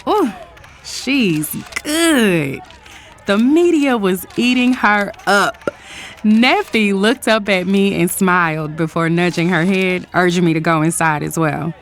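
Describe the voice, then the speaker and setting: A woman playing a carefree young runaway bride, a billionaire's daughter, telling her story in an expressive, conversational audio drama style. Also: medium pitch, slow pace, slight background noise. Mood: amused narration. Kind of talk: first-person audio drama narration and dialogue.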